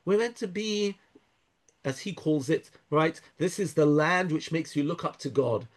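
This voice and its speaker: A man speaking thoughtfully in an interview, pausing and restarting often, weaving in Hebrew verses. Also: a little high. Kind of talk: conversational interview.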